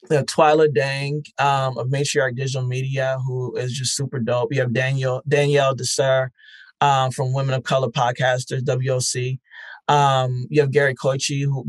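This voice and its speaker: A man's voice: medium pitch, little pitch movement, moderate pace, no echo. A man, founder of a podcast festival, answering interview questions in a reflective way with many fillers and restarts.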